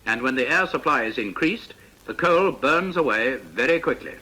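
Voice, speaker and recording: A British man reading a scripted instructional narration for a vintage training film, in a precise, measured, didactic manner. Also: medium pitch, very animated, pushed and bright, husky, poor audio.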